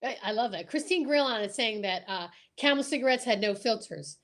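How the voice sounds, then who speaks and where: A woman's voice: a little high, brisk, a bit bright. Enthusiastic woman chatting on a livestream. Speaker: show host. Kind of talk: casual chat on a livestream.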